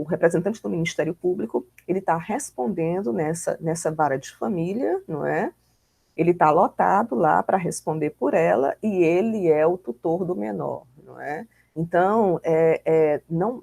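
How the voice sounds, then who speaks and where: A Brazilian woman lectures in a didactic but conversational manner, with frequent tag questions. Her voice is mellow and dark, with a gravelly creak running through it. The sound is close and dry. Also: fairly low pitch, no echo. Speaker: law lecturer. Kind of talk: informal online lecture explaining with examples.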